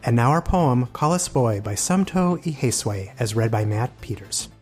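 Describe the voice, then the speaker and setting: A man's voice: mid-range voice, expressive, a little slow, faint music behind. A man hosting a literary podcast, reading scripted introductions and putting questions to a guest. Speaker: podcast host and editor.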